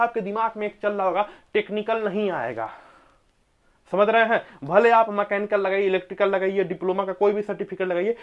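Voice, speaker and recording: Emphatic male coaching teacher. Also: high-pitched. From India. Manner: emphatic, repeats key points and quizzes listeners with rhetorical questions. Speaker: teacher at a competitive exam coaching academy. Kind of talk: coaching lecture explaining exam eligibility and syllabus.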